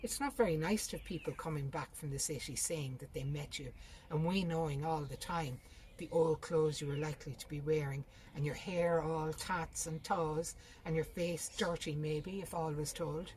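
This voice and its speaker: Storybook elder sister, managing and sharp-tongued, practical female reader in the part. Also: low-pitched, moderate pace, slight background noise, lightly acted. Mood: impatient, scornful. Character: practical, bossy, impatient older sister.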